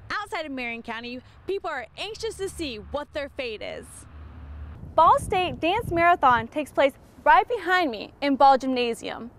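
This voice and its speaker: Young woman, student news anchor, high-pitched, crisp even delivery. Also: normal speed, a little hiss or hum. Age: young adult. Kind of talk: scripted news reading.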